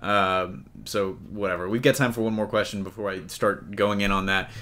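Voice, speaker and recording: Male podcast host, lowish pitch, casual and jokey panel chat, unafraid to swear. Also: fairly even intonation, normal speed, bright, forceful tone. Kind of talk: casual comic-book movie panel chat.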